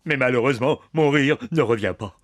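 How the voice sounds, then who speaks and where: A man's voice: mid-range voice, a little fast, soft background music, close to an everyday voice. A man voicing a glum, bumbling toy policeman in a children's cartoon, hesitant and mournful. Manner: hesitant, mournful, old-fashioned exclamations. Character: glum, bumbling toy policeman who has lost his laugh.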